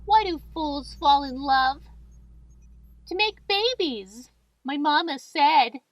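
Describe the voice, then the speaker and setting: A woman's voice: high voice, animated, slow pace, no room sound, highs cut off. A woman voicing a ditzy, cheery, sweet-talking female sidekick in a comic radio play, with a sing-song, coaxing delivery.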